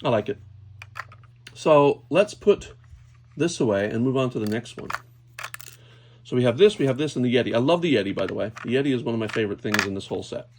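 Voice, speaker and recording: A man's voice: medium pitch, animated, a little fast, long pauses. Man thinking aloud over noisy clicking. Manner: hesitant, thinking aloud while handling objects. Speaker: toy unboxing video host. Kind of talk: casual unscripted hands-on commentary. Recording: clear background noise, clicking handling sounds.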